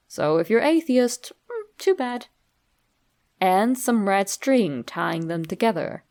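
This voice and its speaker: Female narrator for horror fiction readings, medium pitch, very unhurried and deliberate, instructional storytelling delivery. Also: a little mellow.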